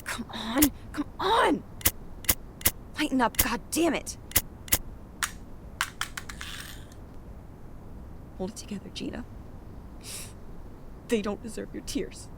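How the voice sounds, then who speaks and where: A woman's voice: on the high side, sing-song, measured pace, gravelly, pauses often, noisy background, heavily acted. A woman acting as a defiant, hot-tempered police captain in an audio drama, intense and emotional. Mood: upset.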